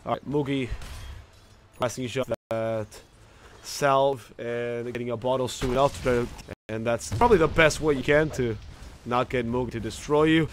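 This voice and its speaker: A man commentating a video game match on his own from a home setup into a desk mic, talking fast and restarting phrases. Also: mid-range voice, a little hiss or hum. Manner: rapid, self-correcting, restarts mid-phrase. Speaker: esports commentator. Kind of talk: solo play-by-play game commentary. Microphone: desk microphone.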